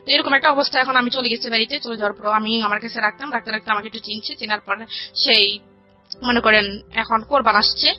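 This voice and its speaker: A young woman recounts her life as a radio guest. Her voice is fairly high and very quick, circling back and repeating phrases. The recording is slightly dull, with faint music underneath. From rural Bangladesh. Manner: rambling, repeats phrases, quotes family conversations. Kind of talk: personal storytelling in an interview. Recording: dull lo-fi audio with music behind. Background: background music.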